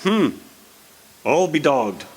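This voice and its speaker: A man's voice: a little high, sing-song, slow pace, husky, heavily acted. A man playing a stubborn country storekeeper, laconic, hesitant and rustic, in old-time radio drama style. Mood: musing.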